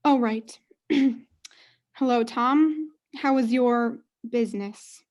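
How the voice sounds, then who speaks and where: A woman's voice: on the high side, very slow, a little mellow. A woman playing a self-absorbed, haughty mother in a student comedy radio play, theatrical and overwrought. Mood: haughty, disdainful.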